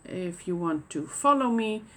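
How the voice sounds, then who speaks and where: A woman from the Netherlands with a Dutch accent, hosting a knitting podcast in casual show-and-tell chat and pausing often with fillers and restarts. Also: on the low side, lively intonation, slight background noise. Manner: hesitant, many fillers and restarts.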